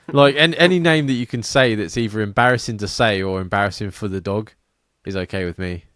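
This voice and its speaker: A man's voice: fairly low pitch, moderate pace, fairly bright tone, no room sound. A young man co-hosting a podcast, giggly and self-deprecating, swearing casually. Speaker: podcast co-host. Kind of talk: casual banter.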